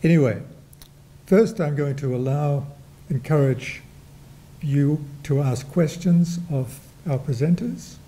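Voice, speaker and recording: An older man with a New Zealand accent speaks in an unhurried, reflective way, dropping in wry asides. His voice is very dark and mellow, and he talks at a slow pace while moderating a panel in a gallery room. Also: a little high. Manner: slow, reflective, with dry humor and fillers. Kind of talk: panel discussion remarks.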